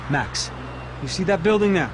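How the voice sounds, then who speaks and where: A cynical detective with a somewhat high voice. He drawls his lines with mocking calm, and city traffic and street noise are clearly heard behind him. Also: moderate pace. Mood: urgent. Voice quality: drawling, sardonic. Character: sardonic, cynical male police detective. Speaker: police detective.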